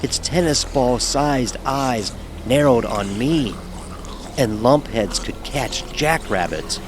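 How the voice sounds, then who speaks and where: An actor playing a nervous young fraternity pledge, narrating his own horror story with measured suspense. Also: mid-range voice, background noise, lightly acted. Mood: tense, suspenseful. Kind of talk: dramatic first-person horror narration.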